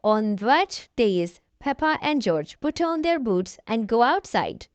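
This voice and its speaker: A woman narrates a picture book for small children in a high voice. Her delivery is expressive and swings widely in pitch, speaking straight to her young audience. The sound is dry, with very little room around it. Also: slow pace, no room sound. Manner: addresses young children directly, expressive storytelling. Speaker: children's storybook reader, channel host. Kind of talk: read-aloud children's story narration.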